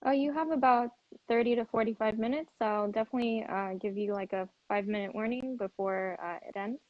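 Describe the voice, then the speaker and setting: Soft-spoken woman hosting a live interview. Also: on the high side, brisk, no room sound. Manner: soft-spoken, hesitant with fillers. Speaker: host. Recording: dull, dry live-stream audio.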